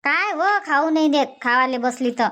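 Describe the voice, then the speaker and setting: In a dubbed cartoon comedy, a nagging old village grandmother, voiced by a woman, bosses her husband around. She speaks with a Varhadi Marathi accent and answers back fast. Her voice sits high and light. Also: animated, fairly bright tone. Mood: teasing, grumbling. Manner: nagging, quick comebacks.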